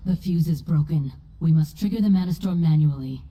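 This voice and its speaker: A woman's voice: low-pitched, a little fast, mellow. An actress playing a grave, determined female mage in a fantasy game, speaking in a solemn, formal, commanding way. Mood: grave, urgent. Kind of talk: video game character acting.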